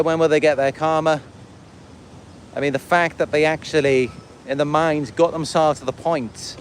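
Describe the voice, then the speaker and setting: Welsh man, slightly high voice, casual livestream monologue, halting and rambling, faint outdoor traffic noise. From Wales. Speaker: livestream host and content creator. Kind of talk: casual livestream monologue, answering viewer comments.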